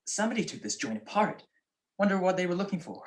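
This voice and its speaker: An actor playing a grumpy, wisecracking married gentleman sleuth with sardonic wit in a radio comedy-mystery. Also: high voice, big pitch swings, fast. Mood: brisk, wry.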